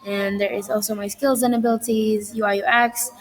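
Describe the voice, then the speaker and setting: Flat-voiced young woman presenting. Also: mid-range voice, brisk, music in the background. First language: Indonesian. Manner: rambling listing with frequent self-corrections. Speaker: student presenting her portfolio. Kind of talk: casual presentation walkthrough.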